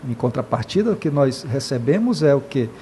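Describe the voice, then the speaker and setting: An earnest man from Brazil speaks in an interview at a mid pitch. He talks with passion, often stops to restart, and keeps adding tag questions. A faint noise runs under the recording. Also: sing-song, a little fast, warm tone. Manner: impassioned, many hesitations and repeated "né".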